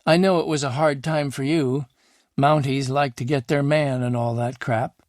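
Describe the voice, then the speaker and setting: A man's voice: mid-range voice, fairly even intonation, normal speed, a little mellow, dry recording, pitched above the performer's own voice, lightly acted. A wry, reflective old man, as a male narrator puts him on, owns up to his regrets in a rueful letter. His voice sits mid-height and plain, the sound dry and close. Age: older.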